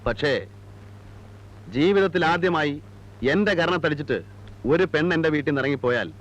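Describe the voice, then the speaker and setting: A man's voice: a little high, fairly quick, dull audio, natural voice. An actor playing an arrogant, cruel, domineering man in a film drama, scornful, with an Indian Malayali accent. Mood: menacing contempt. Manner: scornful, mixes Malayalam with English outbursts. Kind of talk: heated film drama dialogue.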